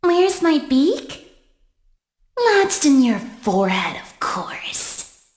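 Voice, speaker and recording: A woman voicing a predatory female bird animatronic in a horror game, creaky, slow, teasing and menacing. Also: sits high, sing-song, full character voice. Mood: coy menace. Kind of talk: horror game character lines. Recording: echoey.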